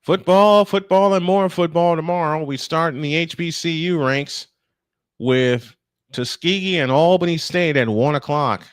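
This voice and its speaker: A man's voice: a little high, a little slow, clear, resonant tone, dry recording. Guy doing live baseball play by play, chatty with his own takes, really dry close sound. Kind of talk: live sports commentary.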